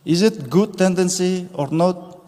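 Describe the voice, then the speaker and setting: A man, giving a formal conference speech with slow, deliberate phrasing and pauses. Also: sits high, mellow. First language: Kazakh. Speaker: conference speaker. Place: large conference hall stage. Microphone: podium microphone. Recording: reverberant conference hall.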